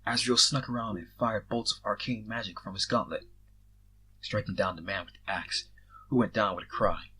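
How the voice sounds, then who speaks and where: A man's voice: fairly low pitch, steady tone, normal speed, pushed and bright, slightly rough, rough recording. A man reading fan fiction aloud as an amateur scripted story narration.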